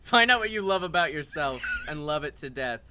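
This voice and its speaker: Lively, sweary man hosting a group chat. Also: a little high, fairly quick, a crowd in the background. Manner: jokey, sweary. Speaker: host of a voice cast chat.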